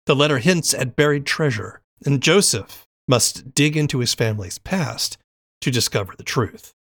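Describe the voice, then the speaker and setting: A man's voice: medium pitch, lively intonation, normal speed, a bit bright, no echo, studio quality. A man hosting an interview podcast, moving between scripted narration and questions for his guest.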